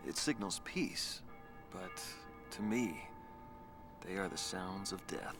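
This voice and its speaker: Low, haunted ex-pilot. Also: male voice, slow pace, over music. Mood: sombre. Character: haunted, subdued male former fighter pilot. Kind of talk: documentary-style interview monologue.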